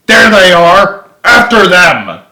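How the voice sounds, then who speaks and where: A man voicing a furious, menacing army general who yells barking orders in an echoey room. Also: high-pitched, big pitch swings, slow pace, voice pushed up, full character voice. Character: furious, menacing army general hunting fugitives, adult male.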